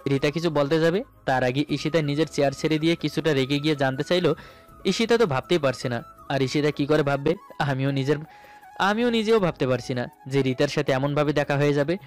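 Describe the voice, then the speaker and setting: A man's voice: fairly high pitch, fairly quick. A man narrating a romantic story dramatically in the first person, as its male lead. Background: background music.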